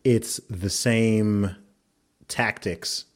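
A man who grew up in Saudi Arabia, hosting a podcast interview and talking in a measured, reflective way through long arguments. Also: fairly low pitch, steady tone. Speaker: podcast host. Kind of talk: conversational interview.

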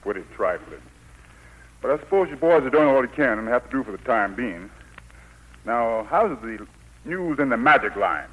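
A bossy old king in a vintage radio play, with a husky, rough-edged voice and a folksy drawl. He is blustering and cruel, hard to please, and sits at a middle pitch. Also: big pitch swings, normal speed, long pauses, a bit bright, rough recording. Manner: folksy Southern dialect, bossy. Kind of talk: 1940s radio acting. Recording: 1940s radio broadcast recording.

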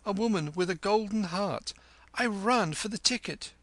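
A man reading aloud, voicing a clever teenage boy who pleads and wheedles in a high, eager voice. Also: moderate pace, a bit bright, no room sound. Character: street-smart teenage boy, pleading and quick.

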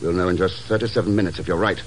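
Secret agent lead for old-time radio man acting: dry, decisive, fairly low voice. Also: fairly even intonation, racing, a little hiss or hum, natural voice. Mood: dry, cool. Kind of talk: 1950s radio drama acting.

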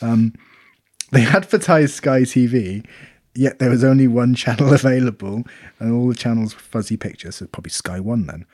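Animated man on a dry mic. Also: medium pitch, normal speed, dry recording. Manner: animated, laughing, sweary. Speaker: podcast co-host. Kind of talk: casual chat, reading out reviews.

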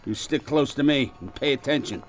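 A man's voice: mid-range voice, a little fast, soft background music, natural voice. A man playing a streetwise veteran beat cop in a radio drama, slangy and teasing as he instructs. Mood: cautioning. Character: streetwise, wry veteran beat cop showing rookie around.

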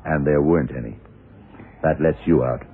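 An actor playing a blunt, self-assured police detective in a 1970s radio drama, questioning deliberately and methodically. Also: male voice, rumbling low, husky, narrow, tinny audio, full character voice. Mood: accusing, assured. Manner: deliberate, probing, punctuated with yeah and right. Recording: noisy, band-limited old radio recording.

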